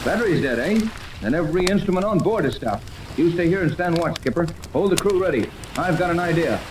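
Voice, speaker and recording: An actor playing a skeptical, take-charge yacht captain in a 1930s radio serial, blunt and commanding. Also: male voice, fairly high pitch, expressive, fairly quick, close to an everyday voice. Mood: brisk, commanding. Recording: noisy old 1930s radio recording.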